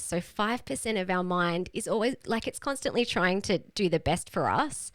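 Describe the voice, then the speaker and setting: A woman's voice: lowish voice, clear, resonant tone, no echo. A woman leading a live online group call as a health coach, teaching in a casual, explanatory and emphatic way.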